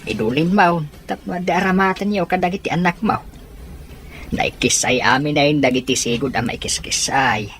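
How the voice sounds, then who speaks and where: A kindly older man who runs a small business acted by a woman, low in pitch. He talks in a measured, sympathetic way, as in an audio drama, over faint noise. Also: fairly bright tone. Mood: kindly, apologetic. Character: kindly older male water station boss.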